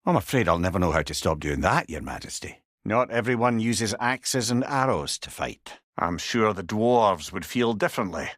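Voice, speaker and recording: Witty old Scottish sage, lively pitch. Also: male voice, normal speed, hardly any pauses, dry recording, clean studio sound. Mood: subdued, gentle. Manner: eloquent, wry, colloquial. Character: witty, talkative old male severed-head companion, wise and wry. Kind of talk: video game character acting.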